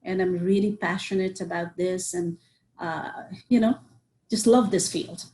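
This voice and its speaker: A woman's voice: on the low side, steady tone, measured pace, warm tone. A woman, a psychiatrist answering interview questions earnestly and listing points with many "you know"s. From Nepal. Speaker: psychiatrist guest.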